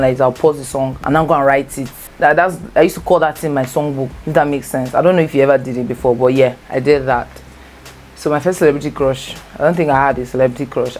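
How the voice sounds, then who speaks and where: A very low-voiced young woman with a Nigerian accent talks through a storytime tag in a loose, rambling way, doubling back to correct herself. Her first language is Igbo. There's a faint hum of room ambience behind her. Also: darkish tone. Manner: chatty, rambling, self-correcting. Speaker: vlogger. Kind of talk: casual storytime chat. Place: home, natural light.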